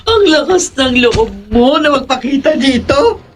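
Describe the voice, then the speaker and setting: Brief: enraged bereaved mother, bitterly accusing, high and wildly swinging pitch, audio drama. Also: expressive, unhurried. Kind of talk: heated radio drama acting.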